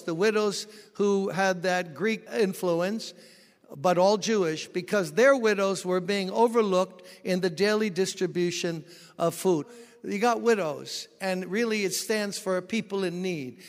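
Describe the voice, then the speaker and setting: Man preaching in a reverberant hall. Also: sits high. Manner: expository preaching with personal stories. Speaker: pastor. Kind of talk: sermon.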